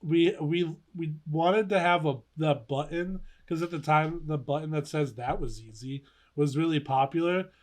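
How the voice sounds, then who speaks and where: Higher-voiced young man, animated podcaster. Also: moderate pace, few pauses. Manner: animated, giggly storytelling. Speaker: podcast co-host. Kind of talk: casual podcast chat, reminiscing.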